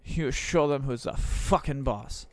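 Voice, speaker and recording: A man live-streaming a game, chatting casually with viewers in a rambling, profane, joking way, his voice slightly raspy. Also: mid-range voice, measured pace, slight background noise.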